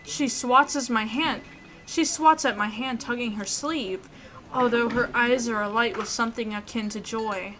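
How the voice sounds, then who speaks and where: A woman hosting a let's play, reading a visual novel aloud in an expressive way. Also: fairly high pitch, a little slow, crowd noise, low-quality recording.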